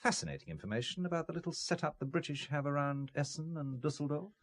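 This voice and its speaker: A man's voice: fairly high pitch, natural voice. An actor playing a principled businessman blackmailed into espionage, speaking with dry, bitter sarcasm in an audio drama. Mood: defiant. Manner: dry, sardonic, deliberate.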